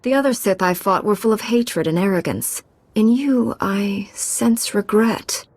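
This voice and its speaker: Resolute Jedi heroine, mid-pitched. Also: female voice, lively intonation, unhurried, darkish tone, no room sound. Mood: defiant. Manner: firm, direct, assured. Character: resolute, confident young female Jedi knight. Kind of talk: video game acting.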